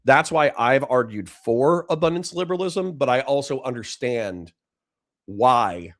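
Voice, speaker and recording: A man's voice: medium pitch, lively intonation. A man with a dry voice giving blunt, profane political commentary to a livestream chat. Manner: blunt, profane, fast restarts.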